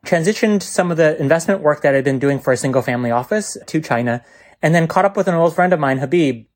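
A man's voice: fairly high pitch, animated, fast. A man, a venture fund co-founder, speaking confidently and articulately as a podcast guest. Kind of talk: podcast interview.

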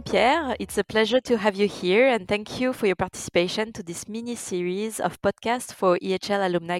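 Polite woman host, creaky voice. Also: mid-range voice. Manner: polite, formal. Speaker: podcast host. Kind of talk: scripted podcast introduction and interview questions.

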